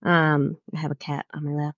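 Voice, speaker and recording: A woman's voice: low voice, monotone, moderate pace, no room sound. A young woman in her twenties co-hosting a casual chat podcast, a bit nasal from a cold, giggling and rambling with lots of "like"s. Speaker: podcast co-host. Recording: slightly muffled lo-fi audio.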